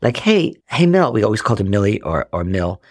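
A man in his forties from Rhode Island, a podcast guest telling candid stories, acting out family dialogue in lively bursts. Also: lowish voice, brisk, no room sound, clean studio sound. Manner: animated, acts out quoted family dialogue. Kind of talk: candid storytelling in conversation.

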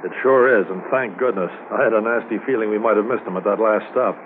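An actor playing an alert, plain-spoken junior police officer in 1950s radio drama style. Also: male voice, lowish voice, quick pace, lightly acted. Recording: noisy, tinny 1950s radio recording.

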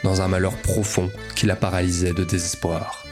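Casting: male actor as grave male storyteller, low voice, scripted audio-fiction narration over clear music. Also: flat delivery, normal speed, natural voice. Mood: solemn. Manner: solemn, literary. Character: solemn storyteller narrating a time-loop tale.

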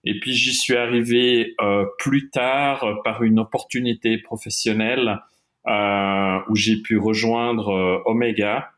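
A man's voice: lowish voice, steady tone, a little slow, fairly bright tone. A middle-aged man from Switzerland whose first language is German, a watch-industry guest talking in an interview with many fillers and restarts. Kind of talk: interview conversation.

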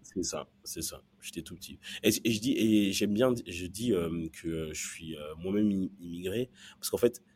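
A man's voice: deep voice, moderate pace, hardly any pauses, a little mellow, breathy edge. A man from Belgium telling his personal story as a podcast guest, hesitant and often repeating words as he searches for phrasing. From born in Central Africa, raised in Belgium from early childhood.

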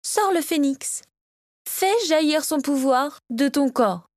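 A woman's voice: high-pitched, animated, moderate pace, dry recording, studio quality, voice pushed up. A woman voicing a commanding, scornful and angry mother in a fantasy audiobook. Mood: commanding, furious. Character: Victoria, furious domineering mother in a fantasy tale.

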